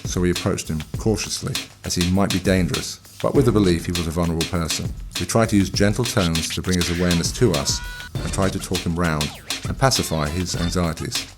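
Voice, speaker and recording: A man speaking as a police officer, giving a calm, matter-of-fact account of an incident. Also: low voice, a little fast, hardly any pauses, music in the background. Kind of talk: scripted narration.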